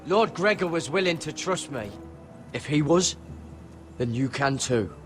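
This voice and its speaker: An actor playing a steady, earnest Night's Watch ranger in a video game, with music underneath. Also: male voice, on the high side, unhurried.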